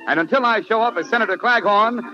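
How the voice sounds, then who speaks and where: A man's voice: sits high, fast, loud music behind the voice. An American man announcing a 1940s radio show in a formal, projected style. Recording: muffled old 1940s radio broadcast.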